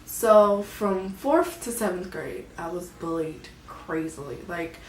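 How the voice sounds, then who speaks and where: A woman, vlogging about her own life in a soft, hesitant way, often searching for words. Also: on the low side, slow pace, slight background noise. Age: 20s. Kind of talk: personal confessional vlog. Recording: echoey room, mic at a distance.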